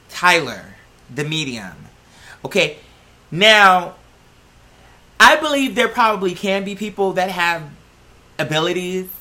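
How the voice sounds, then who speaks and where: A bright, forward voice with an American accent and a medium pitch. The host talks in a casual, animated way, full of slang and swearing, rambling through opinions with plenty of emphasis. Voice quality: slangy speech peppered with "girl" and swearing. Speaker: host of a talk show vlog. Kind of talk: casual opinionated solo chat.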